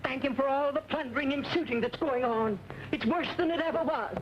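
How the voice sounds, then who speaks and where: A woman's voice: high voice, brisk, close to an everyday voice. A woman playing an indignant rural frontier woman in an old western film. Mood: bitter, scolding. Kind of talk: 1930s-40s western film acting. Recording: noisy, dull old film soundtrack.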